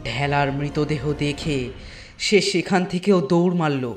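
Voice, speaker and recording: A man narrating a scripted horror story in a deliberate way, with dramatic pauses between phrases. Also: on the high side, faint music behind.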